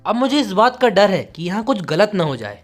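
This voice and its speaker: A loyal knight , acted out by a story narrator, anxious for his master and earnest in speech. The pitch is lively, with music underneath. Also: a little fast. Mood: worried. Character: loyal, anxious male knight retainer of young lord.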